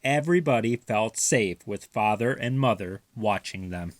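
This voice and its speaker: A man narrating a children's storybook aloud with deliberate phrasing for young listeners. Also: fairly low pitch, lively intonation, no room sound. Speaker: storybook reader.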